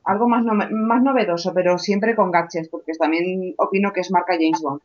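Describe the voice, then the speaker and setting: A woman giving her opinions in a casual discussion in a chatty, relaxed way. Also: on the low side, normal speed. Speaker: guest. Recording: muffled lo-fi audio.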